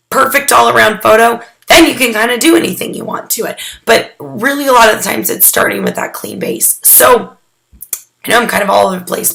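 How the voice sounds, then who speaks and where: A hoarse young woman getting over a cold, talking casually through a photography critique, rambling with lots of "um"s and restarts. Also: a little high, sharp tone. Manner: chatty and rambling, with many "um"s and restarts. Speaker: photography mentor critiquing student work. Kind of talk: casual recorded critique addressed to students.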